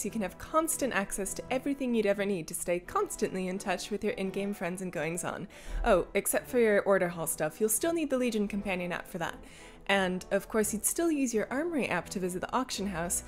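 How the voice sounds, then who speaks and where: A woman's voice: medium pitch, expressive, fairly quick, soft background music. A woman co-hosting a gaming news show, reading scripted comic tech news in a wry, emphatic way.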